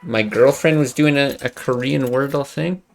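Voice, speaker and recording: A man's voice: mid-range voice, moderate pace, creaky. A young man streaming a card game, chatting casually and thinking aloud, swearing under his breath now and then. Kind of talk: casual gameplay commentary.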